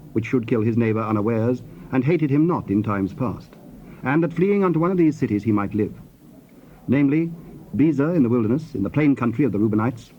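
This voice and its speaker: An actor narrating dramatized scripture in a formal, measured manner with clear phrasing. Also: male voice, medium pitch, dark tone, lightly acted. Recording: dull old cassette tape, faint hiss.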